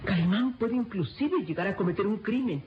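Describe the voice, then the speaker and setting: A man's voice: high-pitched, animated, fairly quick, background noise. A man playing a grave, foreboding adviser in a radio serial, speaking in an ominous, declamatory way. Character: grave, foreboding adviser warning of evil powers. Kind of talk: dramatic radio serial acting. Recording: dull lo-fi radio, music behind.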